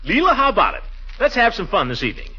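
A man's voice: sits high, wildly swooping pitch, fast talker, bright, forceful tone, slightly rough, full character voice. An actor playing a blustering, excuse-making middle-aged man with big airs, theatrical and drawn out, in 1940s radio comedy style. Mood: hearty. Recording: muffled, noisy 1940s radio recording.

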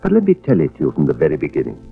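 A troubled insurance man narrates his worries in an old radio drama. His low voice swings widely as he hesitates and broods. The recording is dull and vintage, with faint music behind. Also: huge pitch swings, rapid-fire, creaky, heavily acted. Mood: brooding, uneasy. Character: troubled insurance investigator husband, brooding and anxious. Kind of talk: 1940s radio drama acting, first-person narration.

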